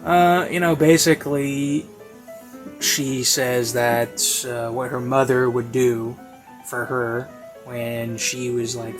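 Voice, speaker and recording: A man giving a casual, rambling spoken review in a hesitant, halting way, as if thinking aloud. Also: mid-range voice. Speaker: reviewer of audio series. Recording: classical music playing behind. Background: classical music.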